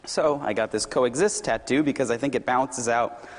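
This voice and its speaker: A young man gives a Sunday sermon with an easygoing, joking warmth, his voice slightly hoarse. His words ring out with a long echo through a big church hall. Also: mid-range voice, normal speed. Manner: relaxed, self-deprecating and conversational. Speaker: youth director and occasional preacher.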